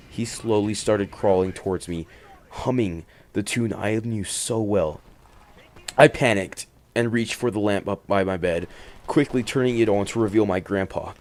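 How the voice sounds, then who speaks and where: Male horror-story host, fairly deep voice, dramatic delivery that moves between hushed and emphatic. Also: a little hiss or hum. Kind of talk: dramatic first-person horror narration with casual commentary.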